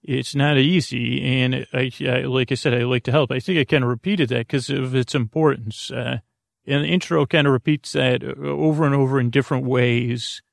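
A male podcast host with a gravelly, creaking voice talks in a very dry, close-sounding space. He improvises a bedtime monologue that wanders from one aside to the next. His delivery is halting, with stammers and words said twice. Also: mid-range voice, fairly even intonation, dry recording. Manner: meandering and digressive, halting with stammers and repeated words.

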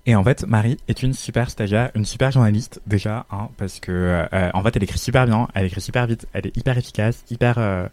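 A man in casual podcast conversation, speaking fast in a somewhat low voice. He is animated and talks with plenty of hesitations and restarts. The recording is dry and close, with no room sound. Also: no room sound. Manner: animated, lots of fillers and restarts. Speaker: podcast co-host, fashion journalist. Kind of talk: casual chat.

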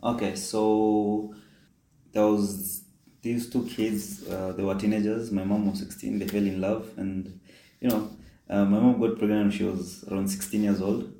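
Kenyan man in his twenties, halting. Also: lowish voice, flat delivery, creaky, mellow, roomy sound, poor audio. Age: 20s. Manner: halting, many fillers and restarts. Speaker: migrant telling his life story. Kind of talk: personal storytelling.

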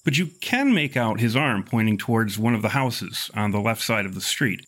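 A man improvising narration as game master of a tabletop horror game, with measured, wordy, formal phrasing. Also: on the low side, expressive, fairly bright tone.

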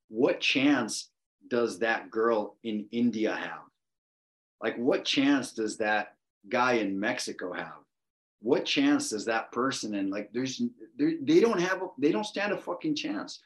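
40s male guest, low-pitched, fervent and emphatic, casual interview. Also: rough recording. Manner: passionate, emphatic, swears for emphasis. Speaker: podcast guest.

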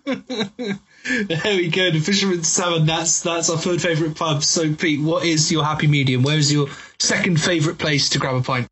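A man's voice: fairly high pitch, moderate pace, a bit bright, husky, rough recording. A man hosting a casual pub podcast, moving the interview along in an upbeat way. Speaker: podcast host.

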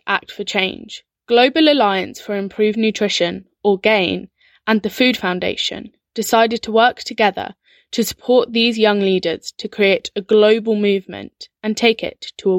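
A woman's voice: medium pitch, a little slow, dry recording, clean studio sound. Earnest woman narrating a documentary.

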